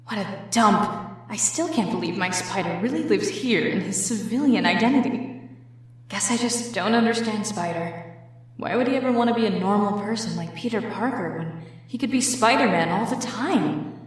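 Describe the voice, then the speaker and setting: Woman playing a husky, confident antiheroine. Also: mid-range voice, lively intonation, moderate pace. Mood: brooding. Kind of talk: comic dub voice acting.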